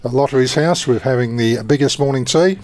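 A man's voice: mid-range voice, a little fast, darkish tone. A man hosting community radio, chatting casually and joking, with frequent uhs, at a charity morning tea. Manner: jokey, chuckling, hesitant with many uhs. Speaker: radio presenter. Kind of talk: casual on-air chat at a community event. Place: outdoor community event.

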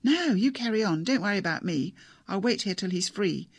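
A man voicing a brisk, no-nonsense woman barrister who speaks firmly. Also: high voice, animated, dry recording, pitched above the performer's own voice.

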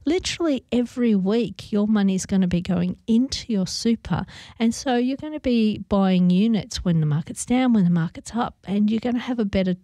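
Hoarse Australian woman radio host. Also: medium pitch, normal speed, mellow, no room sound. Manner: chatty, many ums, explanatory. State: hoarse, recovering voice. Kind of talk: casual community radio chat.

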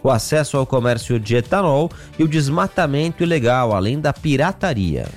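Man reading radio news, mid-pitched, brisk and lively, soft music under him. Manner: brisk headlines, lively on sports and weather. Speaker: news co-anchor.

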